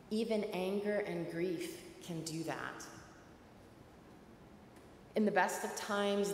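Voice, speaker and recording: A middle-aged woman preaching a sermon from a pulpit, with slow, measured delivery and reflective pauses. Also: fairly low pitch. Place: large stone church, at a pulpit. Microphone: pulpit mic at a distance. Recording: echoey church with long reverb, faint hiss.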